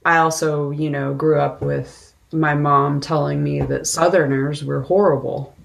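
A woman's voice: low voice, fairly even intonation, measured pace, vocal fry. A woman co-hosting a film podcast in casual conversation, reflective and often searching for her words. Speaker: podcast co-host discussing films.

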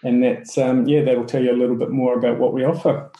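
A man's voice: mid-range voice, quick pace, roomy sound, dull audio. A man with a New Zealand accent, a podcast guest chatting casually about his business, with plenty of fillers and restarts. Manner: relaxed, many fillers and restarts. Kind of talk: casual interview chat.

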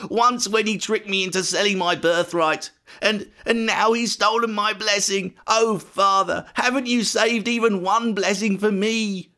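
A man's voice: sits high, fairly even intonation, measured pace, bright, forceful tone, pitched above the performer's own voice, exaggerated voice. A man voicing a wronged eldest son in a Bible story, anguished and pleading.

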